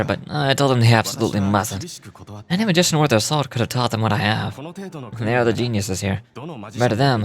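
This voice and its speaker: A man's voice: mid-range voice, lightly acted. Man acting modest stage magician, kindly, reflective game diary reading, slight stumbles, music underneath. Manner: reflective, occasionally stumbling over the script.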